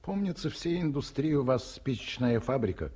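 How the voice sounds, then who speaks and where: An actor playing an aging academician in a 1950s radio play, weary and chilled from the road, calming his grumbling son. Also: mid-range voice, moderate pace, husky. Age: older. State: feverish chill. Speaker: academician geologist, the father.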